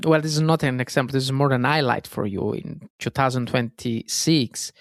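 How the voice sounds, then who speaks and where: A man with an Italian accent speaks alone as a podcast host, with a gravelly edge to his voice. He talks earnestly, often stops to correct himself, and keeps the listener close with casual fillers. The sound is dry and close, with no room echo. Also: mid-range voice, normal speed. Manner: earnest, self-correcting, frequent fillers and "guys". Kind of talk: solo self-help podcast monologue.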